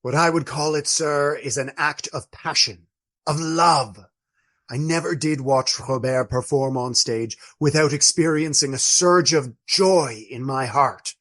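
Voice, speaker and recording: A man playing an old friar in a radio play, speaking in formal, ornate, deliberate phrases with gallant fondness. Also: fairly high pitch, fairly bright tone. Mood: gallant, fond. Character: courtly old Trappist friar, fond, wry, gallant storyteller.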